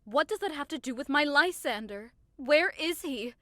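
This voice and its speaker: Woman acting a passionate young lady in love, dramatic and scornful, highish voice, dry audio play recording. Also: expressive, moderate pace, a bit bright, no room sound. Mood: angry, scornful. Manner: emphatic, dramatic.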